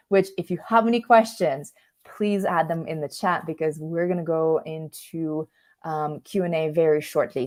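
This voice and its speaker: A woman's voice: deep voice, darkish tone. A woman from Russia, a native Russian speaker, presenting an online coaching workshop in a conversational, informal way, often interrupting herself and checking in with listeners. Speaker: career coach, workshop host.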